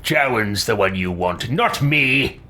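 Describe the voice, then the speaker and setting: A man's voice: on the low side, lively intonation, slow, sharp tone, slight background noise. A man doing in-character commentary as a gaming role-player, in a smug, theatrical way. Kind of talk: in-character let's play commentary.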